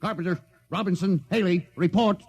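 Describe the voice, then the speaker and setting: An actor playing a stern, authoritative spaceship captain in a 1950s radio drama. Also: male voice, high-pitched, normal speed, vocal fry, husky, full character voice. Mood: alarmed. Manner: authoritative, clipped, interrogating. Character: stern, commanding, hot-tempered spaceship captain. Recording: vintage radio recording, faint background noise.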